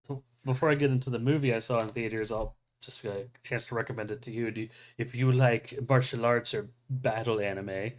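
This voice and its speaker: This is a man with an average-pitched voice in casual podcast conversation. He rambles with enthusiasm, doubling back as he explains things. Small chuckles often slip into his talk. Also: fairly even intonation, brisk, few pauses. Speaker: podcast host. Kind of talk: casual chat about anime.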